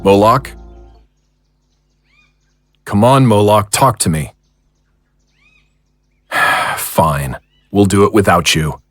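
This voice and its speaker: A man's voice: lowish voice, lively intonation, very slow, pauses often, fairly bright tone, no room sound, faint music behind, exaggerated voice. A man playing a commanding monster hunter who leads a ritual battle in an audio drama. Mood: impatient.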